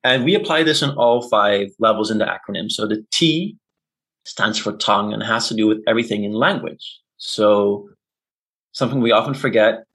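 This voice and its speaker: A man's voice: lowish voice, moderate pace, fairly bright tone, rough recording. A man, a non-native English speaker, being interviewed as an intercultural communication consultant and explaining ideas through examples and tag questions. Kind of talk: conversational interview.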